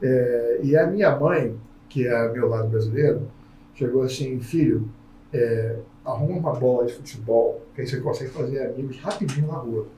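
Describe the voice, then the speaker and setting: A man hosting a casual podcast conversation, a lively storyteller who acts out the people in his anecdotes. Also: mid-range voice, moderate pace, slight background noise. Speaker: podcast host. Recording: roomy sound from a distant mic.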